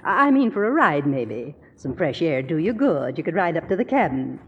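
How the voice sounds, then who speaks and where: Folksy maid, echoing old radio. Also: female voice, low-pitched, very animated, moderate pace, husky, heavily acted. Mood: fussing, caring. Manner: folksy, plain-spoken, solicitous. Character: homely, motherly, folksy female household servant. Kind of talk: 1940s radio drama acting. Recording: reverberant, dull, noisy 1940s radio recording.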